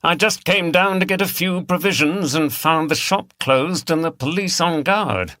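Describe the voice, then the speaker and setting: An affable country local, put on by a male narrator for a mystery audiobook. He is chatty and easygoing. His voice is bright and clear-edged, recorded very dry. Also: on the high side, normal speed, no room sound, pitched above the performer's own voice. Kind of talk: audiobook character dialogue.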